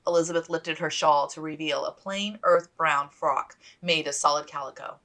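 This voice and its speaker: Low, creaky-voiced woman narrating a novel. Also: steady tone, normal speed, fairly bright tone, far from the mic. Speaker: author reading own novel. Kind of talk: scripted audiobook narration.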